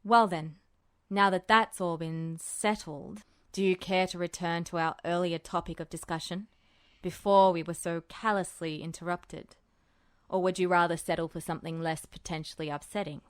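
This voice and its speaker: A woman voicing a smug, pompous man with a formal, wordy, measured way of talking in an audiobook-style story. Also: lowish voice, fairly even intonation, clean tone, no room sound, clean studio sound, voice pushed down, natural voice. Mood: businesslike, wry. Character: smug, pompous, teasing adult man, highbrow and businesslike.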